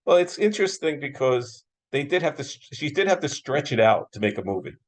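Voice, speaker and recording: A man's voice: on the high side, very animated, fairly quick. An American man moderating an interview in a casual, agreeable, conversational style, often echoing and affirming.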